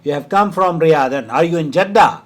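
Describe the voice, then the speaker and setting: A man from India with a high-pitched voice hosts a call-in Q&A. He talks firmly and waves off rumors, with a short laugh now and then. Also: animated, brisk. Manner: firm, dismissive of hearsay, with short laughs. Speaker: host answering a caller's religious question. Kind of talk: phone-in Q&A.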